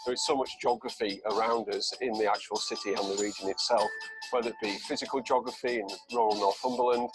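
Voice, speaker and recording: A man speaks articulately and quickly in a promo video. His voice is fairly low, and music plays clearly under him. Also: fairly even intonation, poor audio.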